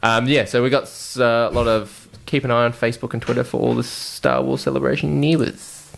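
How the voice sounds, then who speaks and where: A man's voice: medium pitch, little pitch movement, fairly quick, vocal fry, a little hiss or hum. A man co-hosting a podcast in casual chat, with informal, sweary banter. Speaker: podcast host.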